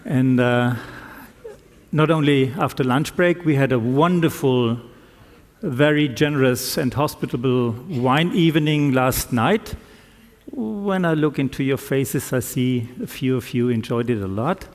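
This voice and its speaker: A man who is not a native English speaker, giving a conference talk in a slow, deliberate way with self-deprecating humor. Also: medium pitch. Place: conference hall. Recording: reverberant conference hall.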